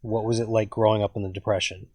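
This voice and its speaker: A man's voice: lowish voice, level tone, fast talker, a little mellow, dry recording. A man interviewing his grandfather, asking curious follow-up questions. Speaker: family interviewer. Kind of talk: casual family interview.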